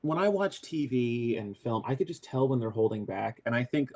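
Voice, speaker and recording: A man who voices a cartoon character, talking in a casual interview, excitable and crude, joking and restarting often. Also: mid-range voice, moderate pace. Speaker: voice actor guest.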